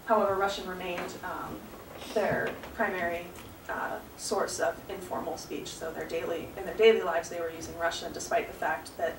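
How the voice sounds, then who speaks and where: A woman's voice: on the low side, steady tone, moderate pace. A young woman, a graduate student, giving an earnest academic talk with frequent ums. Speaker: guest speaker, graduate student. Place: lecture room. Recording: echoey room, distant mic, noisy.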